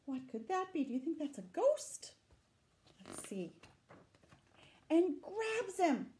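A middle-aged woman reading a picture book aloud to children, dramatic and deliberate, adding asides and questions for her young listeners. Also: very high-pitched, big pitch swings, dark tone, slight background noise. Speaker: library storytime reader. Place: small room indoors. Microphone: camera a short distance away.

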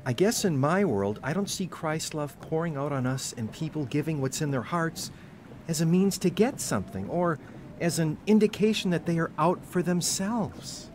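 Actor as lively, devout American younger brother. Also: male voice, a little high, normal speed, a little hiss or hum, natural voice. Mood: earnest. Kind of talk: audio drama acting.

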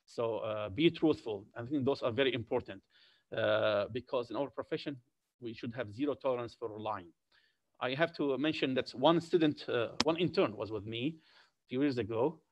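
A middle-aged male physician with an Arabic accent and a slight French tinge, lecturing medical students over slides in a deliberate way, with many uh fillers and repeated points. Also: medium pitch, lo-fi sound. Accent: Syrian Arabic with a slight French tinge. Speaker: physician giving a career talk.

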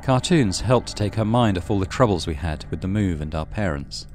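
A man reading a horror visual novel aloud as dramatic, measured narration. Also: low voice, animated, background noise. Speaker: gaming channel host reading visual novel. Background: music.

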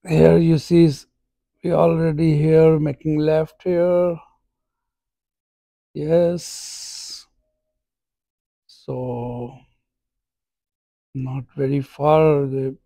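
Brief: man, unhurried vlogger, slightly raised pitch, dry close phone sound. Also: creaky, warm tone, dry recording. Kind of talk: casual travel vlog narration.

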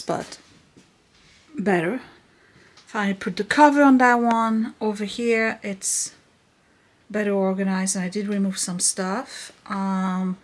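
A middle-aged woman vlogging as she declutters her basement, chatty and self-mocking as she counts items aloud to the camera. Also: medium pitch. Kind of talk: casual decluttering vlog. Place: cluttered home basement room.

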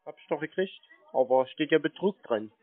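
A man's voice: a little high, animated, normal speed, dry recording. A man arguing casually on a phone call in a matter-of-fact, contradicting way. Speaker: scam-baiter taking a call. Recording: narrow phone-like sound, dry.

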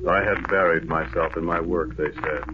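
An actor playing a weary, lovelorn war veteran, narrating in a brooding, poetic, measured way in a 1940s radio drama. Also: male voice, sits low, fairly even intonation, lightly acted. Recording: noisy, dull 1940s radio recording.

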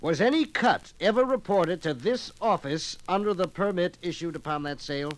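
American committee examiner, 1950s radio play. Formal, deliberate questioning with hesitations, slightly raised register. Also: male voice, sits high, expressive, poor audio. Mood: probing, formal. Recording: vintage 1950s radio LP recording.

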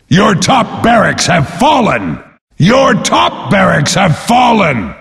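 A brash warrior brute announcing a video game battle. He bellows pompously at a raised, high pitch, drawing his words out slowly. Every line rings in a long, booming reverb. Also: vocal fry, fairly bright tone, full character voice. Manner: bellowing, pompous, speaks of himself in third person. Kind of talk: bombastic game announcer lines.

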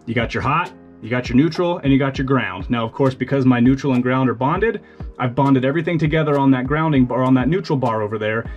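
A man's voice: medium pitch, fast talker, muffled recording, faint music behind. A young man giving a chatty, step-by-step walkthrough of his shed, recorded on a handheld camera. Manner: relaxed, chatty, explains step by step. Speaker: homestead vlogger. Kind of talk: casual how-to walkthrough. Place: small wooden shed, outdoors in hills.

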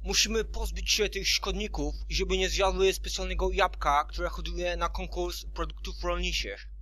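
A man's voice: high voice, steady tone, moderate pace, pushed and bright. A man voicing a determined female farmer pony in an amateur cartoon fan dub.

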